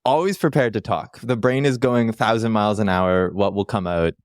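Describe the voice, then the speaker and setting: Low-voiced man from Canada, rambling and joking. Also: fairly quick, no room sound, studio quality. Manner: rambling, self-deprecating jokes. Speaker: podcast guest. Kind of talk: casual podcast chat.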